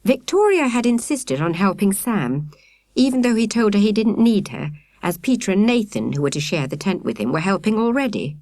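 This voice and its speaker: Woman, audiobook narrator, very varied intonation, dry studio sound, expressive scripted storytelling. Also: medium pitch, no echo. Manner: expressive, carefully phrased storytelling.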